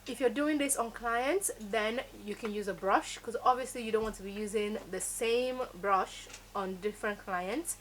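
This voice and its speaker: A woman's voice: on the high side, normal speed, hardly any pauses, fairly bright tone, a little hiss or hum, rough recording. Woman teaching makeup. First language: Yoruba. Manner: instructive, emphatic, repeats key words. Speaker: makeup tutorial host. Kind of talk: step-by-step beauty tutorial.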